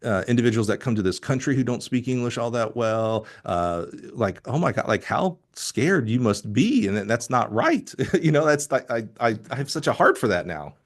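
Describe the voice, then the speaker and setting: A middle-aged man from Alabama hosting a casual interview, talking in an effusive, halting way and often restarting his thoughts. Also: mid-range voice, sing-song. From Alabama, United States. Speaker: podcast host.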